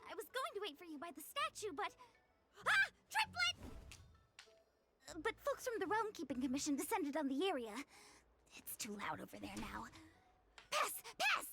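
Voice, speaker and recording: A woman voicing a playful young woman diviner in a video game, chirpy, breezy and excitable. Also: very high voice, moderate pace, long pauses, music in the background, heavily acted. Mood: playful, excited. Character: playful, slacking young woman diviner obsessed with tile games.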